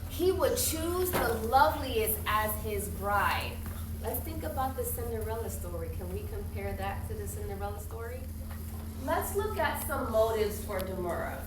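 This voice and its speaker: Echoey, higher-pitched woman teacher reading aloud. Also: moderate pace. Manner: instructive, questioning, expressive storybook reading. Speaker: primary school teacher. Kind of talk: interactive read-aloud to a class. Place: classroom with pupils. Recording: distant echoey classroom, some noise.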